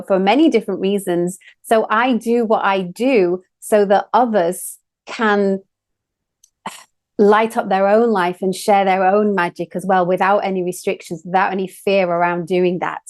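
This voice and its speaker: A woman's voice: mid-range voice, darkish tone. A woman in her forties with an English accent, talking earnestly and personally as a show's co-host. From England. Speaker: co-host, energy and sound healer. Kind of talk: personal, conversational talk.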